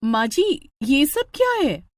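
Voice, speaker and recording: A woman voicing a young, spirited new daughter-in-law in a cartoon story, expressive, exasperated and insistent. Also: high voice, slow, dry recording, voice pushed up, exaggerated voice. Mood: indignant.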